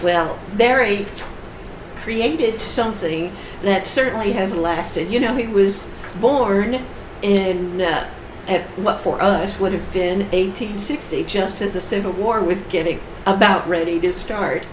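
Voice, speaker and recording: Brief: woman author on a panel show, mid-pitched, talks at length, roomy sound with light hiss. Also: measured pace. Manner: talkative, explains at length. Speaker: author guest. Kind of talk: casual panel chat.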